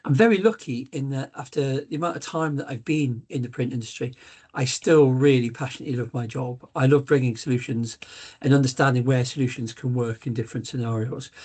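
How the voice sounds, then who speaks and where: A man's voice: mid-range voice, a little mellow, breathy edge. A middle-aged man with a Newcastle accent hosts a live business webinar as sales director. He talks in a loose, conversational way, with many ums and uhs. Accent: Geordie (Newcastle). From Newcastle, England.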